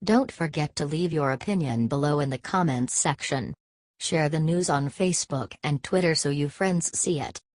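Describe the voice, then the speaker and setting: A woman reading a scripted channel introduction asking viewers to subscribe, in a flat, measured way. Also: low voice, no echo. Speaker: channel announcer.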